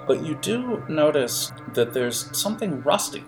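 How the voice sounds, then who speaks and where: A man improvising the narration of a tabletop adventure as game master, in a halting, conversational way. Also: fairly high pitch, big pitch swings, over music, low-quality recording.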